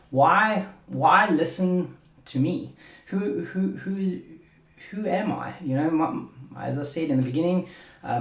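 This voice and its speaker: A man's voice: fairly high pitch, creaky. South African man, roomy sound. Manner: rambling, repeats words while searching for them. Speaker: podcast host. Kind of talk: casual solo podcast talk.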